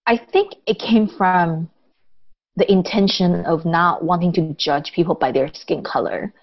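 A thoughtful woman from Taiwan answers interview questions over a video call, unhurried and full of pauses. Her voice sits on the low side. The audio is dull and lo-fi with no room echo. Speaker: guest. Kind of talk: casual interview conversation.

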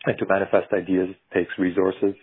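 A man's voice: deep voice, flat delivery, fairly quick, husky. A man from Bulgaria, a podcast guest who works in animation, talks in a thoughtful, searching way with many restarts. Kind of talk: reflective conversational interview. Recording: tinny phone-like remote call.

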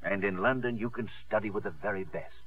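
An actor playing an anxious, tender, proud father, halting and emphatic, in a 1950s radio drama. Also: fairly low pitch, steady tone, lightly acted. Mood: troubled, firm. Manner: halting, repeats words emphatically. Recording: muffled vintage 1950s radio broadcast.

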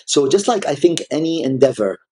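A man's voice: on the high side, expressive, brisk, darkish tone. A man whose first language is Arabic, speaking as an art collector guest in a conversational interview, with frequent restarts and fillers. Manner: hesitant, many restarts and fillers.

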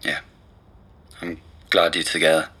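A man's voice: rumbling low, completely flat delivery, a little slow, bright, forceful tone, husky, exaggerated voice. An actor playing a concerned, level-headed male friend in naturalistic audio drama dialogue.

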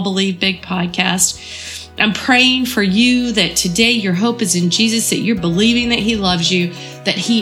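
A woman's voice: mid-range voice, fairly bright tone. A woman hosting a devotional podcast, earnest and encouraging, her voice a bit froggy from a cold. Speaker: podcast host.

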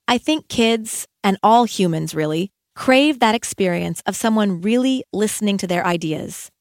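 Woman, audiobook narration, educator, medium pitch, deliberate pauses, dry studio-like sound. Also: no echo. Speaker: educator and author reading own audiobook.

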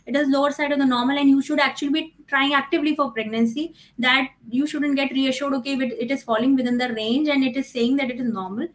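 Female medical expert for a podcast interview, Indian accent, slightly high voice, explains at length. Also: steady tone, fast talker, few pauses. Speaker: fertility doctor, podcast guest.

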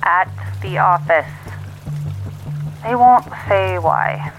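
A woman's voice: mid-range voice, drawn-out words, over music, low-quality recording. A woman acting in an audio drama as an anxious colleague bearing urgent news. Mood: worried, urgent.

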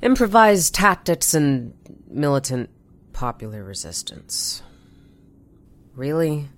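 An anxious, slow-talking, low-voiced woman. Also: sing-song, full character voice. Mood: weary, wry. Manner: hesitant, stammering and repeating words. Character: anxious, overwhelmed woman in a sci-fi resistance colony. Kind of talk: naturalistic audio drama acting.

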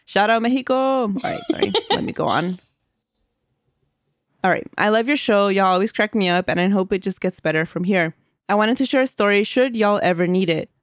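A woman's voice: medium pitch, a little fast, no room sound. Giggly woman podcast co-host, dry mic. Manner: giggly, chatty, reads aloud with asides. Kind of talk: casual chat and reading listener stories.

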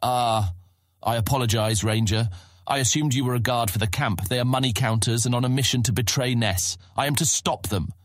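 A man playing an earnest young guild ranger in a fantasy audiobook, husky, polite and persuasive as he pleads his case. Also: medium pitch, brisk, no echo, studio quality, natural voice. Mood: apologetic. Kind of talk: full-cast fantasy audiobook acting.

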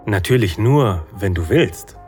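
A man playing a loving, nervously eager boyfriend in an intimate audio drama, speaking hesitantly and haltingly. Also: lowish voice, expressive, darkish tone, soft background music. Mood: nervous, hopeful.

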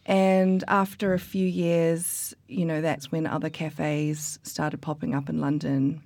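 A woman from New Zealand talks casually about her work, her low voice stumbling through fillers and restarts. The recording is dry and close, with no room around her. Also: level tone, slow, vocal fry, a little mellow, no room sound. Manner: chatty, many fillers and restarts. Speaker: coffee expert guest. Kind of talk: casual interview chat.

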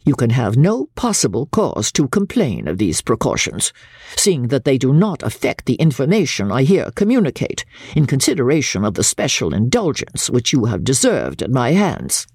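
Woman acting a husky, haughty, low-voiced older widow. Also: sing-song, moderate pace, a little mellow, no echo, studio quality, full character voice. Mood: smug, condescending. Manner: stern, precise, formal. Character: haughty, cold, self-righteous middle-aged widow writing a letter. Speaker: audiobook reader. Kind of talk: dramatic classic literature reading.

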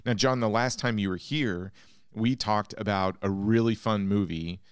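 This man speaks in a fairly low, smooth voice. He hosts a podcast in an eager, slightly rambling way. The sound is close and dry. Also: normal speed, dry recording. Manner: enthusiastic, rambling, asks guests questions. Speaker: podcast host. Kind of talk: casual podcast hosting.

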